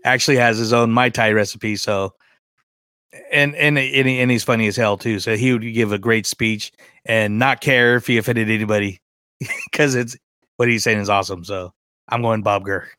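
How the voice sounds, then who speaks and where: A man's voice: lowish voice, fairly even intonation, normal speed, bright, forceful tone, smooth, no echo, clean studio sound. A man co-hosting a podcast in casual chat, informal and joking, with some self-deprecation. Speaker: podcast co-host.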